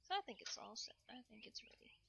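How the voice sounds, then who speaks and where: A woman's voice: on the high side, big pitch swings, fast, creaky, fairly bright tone, in a whisper. A woman livestreamer chatting casually to viewers at home while doing chores, often muttering to herself and repeating phrases.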